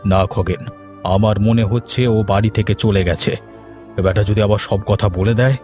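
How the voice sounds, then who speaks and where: A man's voice: fairly low pitch, steady tone, normal speed, darkish tone, faint music behind, close to an everyday voice. Man playing a bossy, short-tempered village master. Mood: stern, annoyed. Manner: scolding, imperious. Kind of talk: cartoon voice acting.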